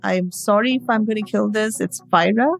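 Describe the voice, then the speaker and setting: A woman's voice: mid-range voice, normal speed, gravelly. A woman from New York co-hosting a podcast, giggly and enthusiastic as she reads out a guest's credits. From New York City. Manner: giggly, enthusiastic, stumbles over names. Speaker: podcast co-host. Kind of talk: casual chat, reading guest introduction.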